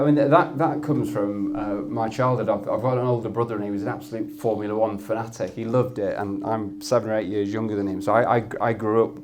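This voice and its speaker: A man with a Yorkshire accent and a loud voice, speaking as a guest on a live industry panel and often restarting his sentences as he thinks. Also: lowish voice. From Yorkshire, England. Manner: thoughtful, with frequent restarts and repeated words. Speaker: head of media effectiveness, guest. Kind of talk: panel discussion at an industry event.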